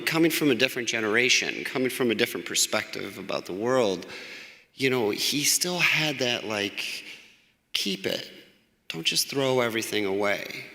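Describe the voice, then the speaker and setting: A man's voice: medium pitch, pushed and bright. A man in his forties, a grandson sharing rambling, laughing memories in a casual eulogy in a church. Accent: American. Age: 40s. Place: church with long reverb. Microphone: church microphone. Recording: church hall reverb.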